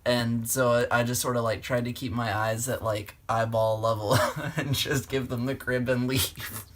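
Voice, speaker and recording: A man's voice: medium pitch, flat delivery, moderate pace, fairly bright tone. Laid-back man in casual conversation, mid-range voice, informal and loose delivery. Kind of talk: casual chat. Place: indoors at home.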